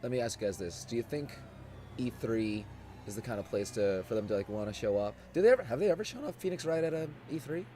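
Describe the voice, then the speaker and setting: Streamer, man, hoarse just-woke-up voice, rambling chat, average pitch. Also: expressive, fast talker, mellow. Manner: rambling, chatty, laughs often. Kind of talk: casual live-stream watch-along chat.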